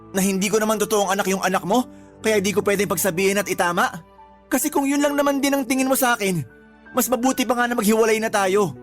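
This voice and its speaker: A man's voice: high-pitched, natural voice. A man with a Filipino accent acting a weary, hurt live-in partner in a radio drama, earnest and reproachful.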